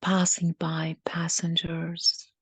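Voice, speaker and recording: A woman with a lowish voice guides a meditation very slowly and solemnly. Her phrasing is deliberate and incantatory, with gravelly creak and breathy, whispery softness, like a channeled healer addressing listeners. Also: monotone, no echo. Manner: halting, deliberate phrasing with repeated lines.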